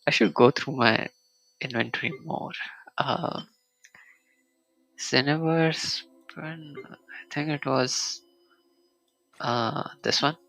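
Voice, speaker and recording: A man casually commentating a solo game live stream, muttering to himself and reading item text aloud in a halting way. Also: fairly high pitch, vocal fry, fairly bright tone, rough recording.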